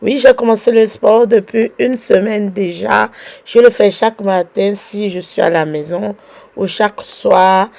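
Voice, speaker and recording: Young Beninese mom vlogger with a cold: warm, dark tone, gravelly edges, talks slowly. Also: female voice, medium pitch. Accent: Beninese French. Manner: unhurried, chatty, fills pauses with "voilà". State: has a cold and sore throat. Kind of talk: casual home vlog narration.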